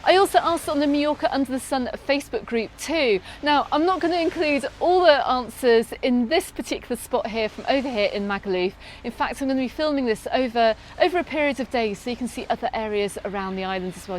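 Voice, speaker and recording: A woman's voice: fairly high pitch, a little hiss or hum. Chatty British woman vlogging outdoors. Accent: British English. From Britain. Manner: chatty, upbeat, with frequent ums and restarts. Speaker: travel vlogger. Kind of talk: casual travel vlog Q&A. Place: outdoors on a sunny beach, in a lifeguard tower.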